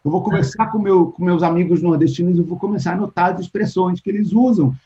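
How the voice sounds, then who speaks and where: A man's voice: sits high, fast talker, dark tone. A Brazilian man talking as a writer in a casual interview. He thinks aloud, restarts often, and acts out the things people said to him. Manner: thoughtful, restarts often, acts out quoted exchanges. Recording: dull lo-fi call audio.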